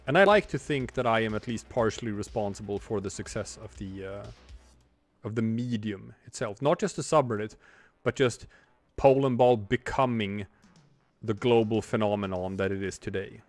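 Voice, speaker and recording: A man's voice: fairly low pitch, normal speed, soft background music. A man in his forties, a streamer and comic artist, talking in a rambling, reflective way while he draws, with many restarts. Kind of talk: casual livestream chat while drawing.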